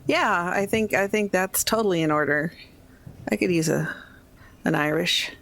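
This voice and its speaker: A woman's voice: lowish voice, moderate pace, creaky, a bit bright, slight background noise. A woman talking casually as a player in a tabletop roleplaying game, improvising her character's replies.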